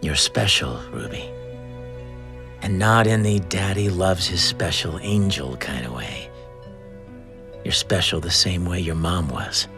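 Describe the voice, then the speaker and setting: An actor playing a grave, cryptic man in an animated fantasy series, speaking in a dry, deliberate way. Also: deep voice, over music.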